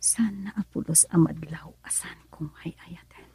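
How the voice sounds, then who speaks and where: Emotive husky young Ilocano wife. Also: female voice, lowish voice, moderate pace, dark tone. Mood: hushed, reflective. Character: emotional young married woman in radio drama. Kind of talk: radio drama acting.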